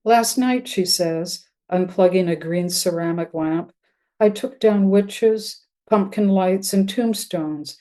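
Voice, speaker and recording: An older woman reading her own literary piece in measured, plain-spoken narration. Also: mid-range voice, dark tone. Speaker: writer reading own work. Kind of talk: literary prose-poem reading.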